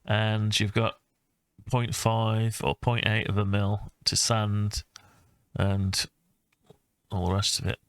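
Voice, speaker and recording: Bloke doing a casual design walkthrough, kinda monotone, halting as he thinks, dry close sound. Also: fairly low pitch, smooth, dry recording. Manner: thinking aloud, hesitant, halting mid-phrase. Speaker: hobbyist maker presenting own design project. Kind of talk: informal screen-recorded CAD design walkthrough.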